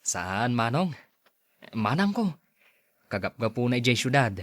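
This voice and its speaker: A man's voice: medium pitch, big pitch swings, a little slow, a bit bright, no room sound, studio quality. A man with an Ilocano accent acting a meek, deferential young suitor in a radio drama. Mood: respectful. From Philippines.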